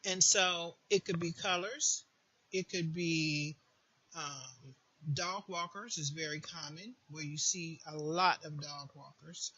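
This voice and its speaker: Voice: mid-range voice, takes it slowly, bright, forceful tone, slightly rough, dull audio. A person giving an informal, earnest advice monologue, repeating phrases for emphasis, as a support group host would.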